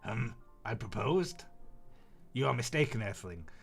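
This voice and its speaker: A man's voice: mid-range voice, animated, measured pace, vocal fry, husky, faint music behind, exaggerated voice. A man voicing a polite, literal-minded Martian queen in a visual novel, formal and matter-of-fact. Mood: insistent, regal. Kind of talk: visual novel read aloud in character.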